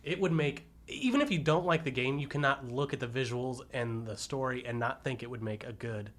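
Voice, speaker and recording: A man's voice: mid-range voice, brisk, fairly bright tone. A man in casual podcast conversation, opinionated and bantering with the others. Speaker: podcast panelist. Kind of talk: casual chat.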